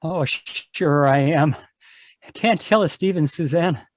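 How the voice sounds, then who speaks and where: A man's voice: a little high, measured pace, slight background noise, lightly acted. An actor playing a gallant, wounded young man in an old-time radio adventure. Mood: weak, tender. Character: wounded young prospector, gallant male sweetheart.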